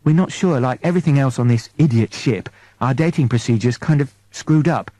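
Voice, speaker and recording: A man's voice: medium pitch, brisk, dark tone, no echo, lightly acted. Man voicing a tactful, concerned female nymph medic. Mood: awkward, practical. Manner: hesitant, careful, matter-of-fact.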